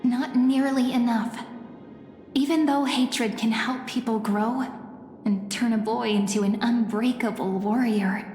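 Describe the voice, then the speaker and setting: Woman voicing gentle playful game girl, kinda high voice, slow n wistful, big hall echo. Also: steady tone, close to an everyday voice. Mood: earnest. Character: gentle, teasing young woman companion, wistful and knowing. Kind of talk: English video game voice acting. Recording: echoey hall reverb, faint music behind.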